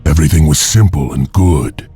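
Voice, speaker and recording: An actor playing a solemn, vengeful heir of an enslaved warrior clan, speaking gravely and deliberately in a dramatic video game style. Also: male voice, rumbling low, warm tone, faint music behind, exaggerated voice. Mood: solemn, mournful. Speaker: story narrator and lead character. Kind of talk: dramatic scripted game narration and dialogue.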